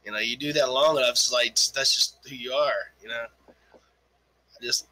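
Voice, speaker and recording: A man's voice: mid-range voice, moderate pace, sharp tone, rough recording. A man in his forties in a crude, joking online voice-chat argument, stammering and swearing a lot. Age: 40s. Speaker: debate stream participant.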